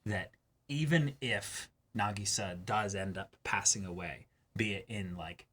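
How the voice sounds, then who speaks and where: A man co-hosting a reaction show, talking through his thoughts in casual commentary with emphatic, drawn-out phrasing and repeats. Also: on the low side, fairly bright tone. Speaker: co-host of an anime reaction show.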